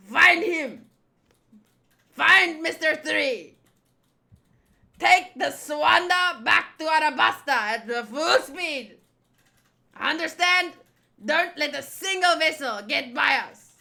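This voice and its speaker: Woman as showy, short-fused male pirate villain for a manga reading: high, piercing, theatrical, bossy. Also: gravelly, pushed and bright, full character voice. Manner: theatrical, indignant, commanding.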